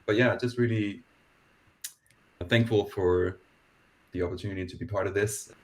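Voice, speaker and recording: A man with a very low voice and a faint Danish accent, speaking over a video call. He talks modestly and pokes fun at himself, often stopping to restart his sentences. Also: fairly even intonation. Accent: Danish, softened. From Denmark. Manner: modest, self-deprecating, halting restarts. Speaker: panelist. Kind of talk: panel introduction. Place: London.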